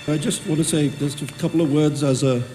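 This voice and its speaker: A man from Mumbai giving a short, friendly, slightly halting speech and reading a passage at a book launch. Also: on the high side, steady tone, mellow, slight background noise. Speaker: event speaker.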